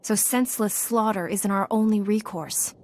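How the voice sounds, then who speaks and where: Composed young heroine for a fantasy game, woman acting, mid-range voice, direct, principled, calm. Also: medium pitch, moderate pace, natural voice. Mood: firm, sympathetic. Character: composed, principled young female Jedi apprentice. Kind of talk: video game dialogue acting.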